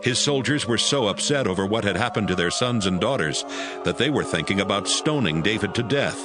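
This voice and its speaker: A man's voice: fairly low pitch, expressive, a little fast, music in the background. A man narrating a dramatized audio Bible as scripted storytelling.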